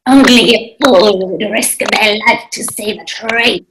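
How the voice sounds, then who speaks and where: A menacing sea-witch queen, acted by a woman at a table read. She takes her time, scornful and regal, in a medium-pitched voice. The recording is plainly noisy. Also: lightly acted. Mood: scornful threat. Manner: haughty, scornful, drawn-out delivery. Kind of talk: table read of a fantasy screenplay.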